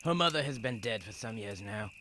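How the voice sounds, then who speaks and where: A man's voice: on the low side, lively intonation, fairly quick, bright, forceful tone, slight background noise. An actor playing a blunt, earnest Roman prison guard and worried father in an audio drama. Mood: worried, tender.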